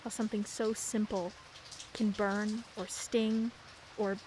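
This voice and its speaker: An actress playing a brooding, curt teenage daughter in a radio play, with inner monologue. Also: female voice, medium pitch, level tone, slow, a little mellow, slight background noise, close to an everyday voice, low-quality recording. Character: sullen teenage daughter, moody and blunt.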